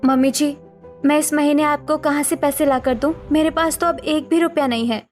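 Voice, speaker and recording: A woman plays an aggrieved young daughter-in-law in a cartoon family drama. Her voice is high, emphatic and plaintive, standing up for herself over faint background music. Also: steady tone, moderate pace, lightly acted. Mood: defiant. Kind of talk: Hindi cartoon family melodrama acting.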